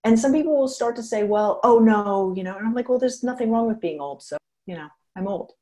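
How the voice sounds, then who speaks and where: A woman's voice: fairly high pitch, a little fast. A woman in her fifties, a geriatrician and author, answering interview questions frankly and with energy, laughing now and then as she talks. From United States. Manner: frank, animated, laughs while talking.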